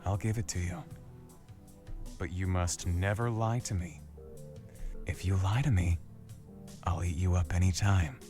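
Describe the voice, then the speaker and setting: A very deep, possessive seducer. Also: male voice. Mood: seductive. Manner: seductive, close to the ear, veiled threats. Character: possessive, flirtatious male love interest with a menacing edge. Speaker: visual novel love interest. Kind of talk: intimate game voice acting. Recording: game dialogue over loud background music.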